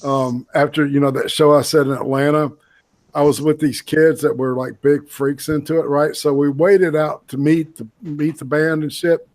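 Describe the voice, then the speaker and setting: A man's voice: on the high side, steady tone, normal speed, a little mellow. A man telling a story in a casual podcast chat, swearing freely.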